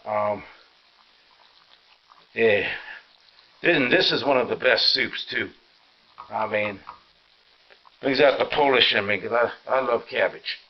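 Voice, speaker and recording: A man with a mid-pitched voice narrates a casual home vlog. He sounds tired and talks in a halting, rambling way. The audio is dull and muffled, recorded inside a house as he walks around. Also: gravelly. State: tired and sore. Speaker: vlog host. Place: inside a house, walking through rooms.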